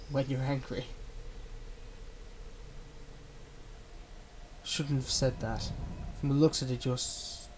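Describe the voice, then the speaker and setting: A man playing a manipulative, soothing male villain in an ASMR roleplay, speaking in a soft, coaxing and dramatic way with deliberate pauses. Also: fairly high pitch, slight background noise, close to an everyday voice. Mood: teasing, subdued. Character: manipulative, soothing male supervillain, tenderly possessive of his captive.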